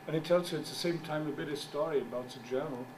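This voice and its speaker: A middle-aged man whose first language is German gives a conference talk as a journal editor. He speaks English haltingly, searches for words, and makes wry asides. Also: on the high side. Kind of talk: conference presentation. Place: conference room. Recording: distant mic with background noise.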